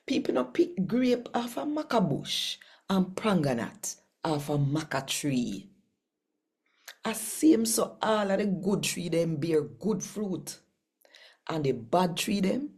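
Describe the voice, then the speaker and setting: A Jamaican woman hosting a daily Bible reading, earnest and unhurried, murmuring agreement to herself. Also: lowish voice, sing-song, darkish tone. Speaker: scripture reader.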